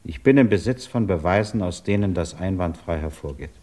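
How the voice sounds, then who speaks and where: A man playing a measured, courteous British government investigator in a 1950s German radio drama, formal, deliberate and patiently probing. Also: low voice, lively intonation, warm tone. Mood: insistent, probing.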